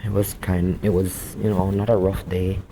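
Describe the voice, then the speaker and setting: A man's voice: deep voice, flat delivery, normal speed, background noise. A man chatting casually, often repeating his phrases for emphasis. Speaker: vlogger testing walkie-talkies outdoors. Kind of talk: casual chat over walkie-talkie.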